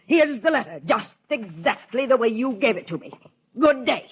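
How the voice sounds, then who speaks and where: An actress playing a crabby, prim, nosy spinster who is snippy and bossy, in 1950s radio drama style. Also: female voice, fairly high pitch, expressive, normal speed, natural voice. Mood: indignant. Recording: noisy 1950s radio broadcast.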